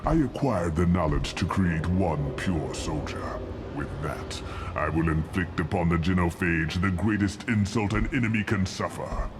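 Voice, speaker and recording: A man's voice: sits low, unhurried. A man playing a proud, contemptuous old alien warlord scientist in a video game, speaking in a pompous, theatrical way. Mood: cold, imperious. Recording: loud ambient noise behind.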